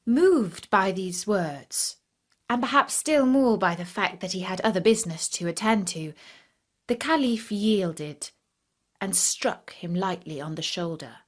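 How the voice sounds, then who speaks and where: A woman's voice: medium pitch, lively intonation, unhurried. A woman reading a scripted fairy tale for children as a storyteller.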